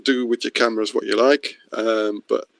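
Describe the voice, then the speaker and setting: A man's voice: fairly low pitch, steady tone, moderate pace, no room sound. Middle-aged man from the Netherlands, dry close sound. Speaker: course presenter. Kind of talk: webinar introduction, spoken off the cuff. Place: online video meeting.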